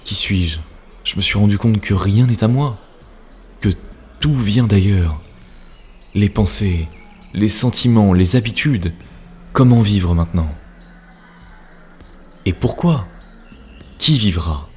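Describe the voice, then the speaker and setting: A man's voice: fairly low pitch, animated, slow, pauses often, darkish tone, breathy edge, soft background music, heavily acted. A man voicing a lost, troubled cartoon character who keeps questioning who he is. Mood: bewildered. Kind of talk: acted cartoon dialogue.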